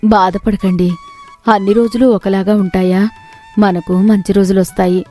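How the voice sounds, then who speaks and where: A woman's voice: mid-range voice, flat delivery, moderate pace, warm tone, faint music behind, lightly acted. A woman playing a poor farmer's wife in a moral story, worried but hopeful, speaking in a plaintive, homely way. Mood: consoling.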